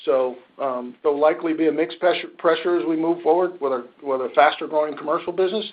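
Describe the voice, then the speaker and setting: A man speaking as a company finance executive, answering questions on a conference call in measured corporate phrasing. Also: a little high. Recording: muffled conference-call audio.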